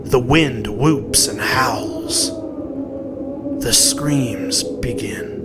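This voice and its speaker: Male horror audiobook narrator, mid-range pitch, slow and deliberate, suspenseful delivery over a music bed. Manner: deliberate, suspenseful storytelling with weighted pauses. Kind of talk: dramatic scripted horror narration. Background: clearly audible music.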